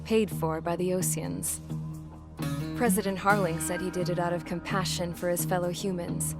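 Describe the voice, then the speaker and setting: A woman's voice: fairly low pitch, close to an everyday voice. A woman playing a jaded young pilot, narrating a game story in a wry, plainspoken way. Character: young female pilot mechanic, jaded, blunt war narrator. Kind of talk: scripted first-person narration in a game cutscene. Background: music.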